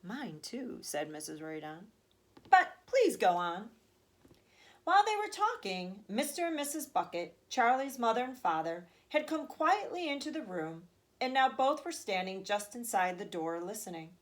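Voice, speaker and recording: A woman narrating a children's storybook as a teacher would, with expressive, deliberate phrasing. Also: mid-range voice. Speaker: teacher reading aloud to third graders.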